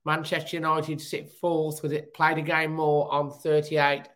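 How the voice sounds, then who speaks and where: Brief: man, hoarse from a cold, chatty fan-show host, slightly high and flat-toned. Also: measured pace. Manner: chatty, upbeat, fan talk. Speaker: football podcast host. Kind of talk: casual chat.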